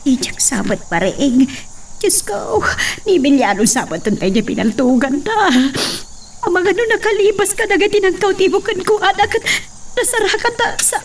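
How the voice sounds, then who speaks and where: Anxious, very high-voiced mother. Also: expressive, moderate pace, a little hiss or hum. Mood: distraught. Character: anxious, loving mother of a grown daughter. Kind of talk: melodramatic radio drama acting.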